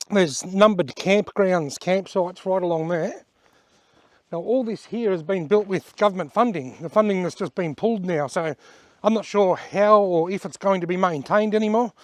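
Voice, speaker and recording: A man with an Australian accent and a fairly high voice, a little hoarse from a cold, walks and talks his way around the wetland in a relaxed, plain-spoken way. The sound is dry and close, with hardly any room around it. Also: unhurried, no echo. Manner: chatty and plain-spoken, pointing things out as he goes. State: slightly hoarse from a bug. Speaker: outdoor vlogger giving a tour. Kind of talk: casual walking-tour narration. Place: outdoors at wetlands by a creek and car park.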